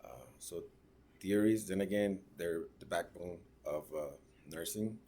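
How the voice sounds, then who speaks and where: Guy in his 30s, really low voice, halting class speech. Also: warm tone. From Mexico (raised in Ciudad Juárez). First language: Spanish. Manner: halting, searching for words, tag questions. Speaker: registered nurse.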